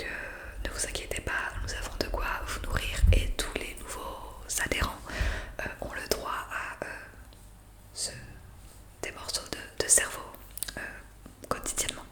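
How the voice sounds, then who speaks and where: A woman whispering an improvised ASMR roleplay as a friendly zombie guide, breathy and gentle, explaining hesitantly close to the microphone. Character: friendly young woman zombie welcoming a newcomer. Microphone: close studio condenser microphone.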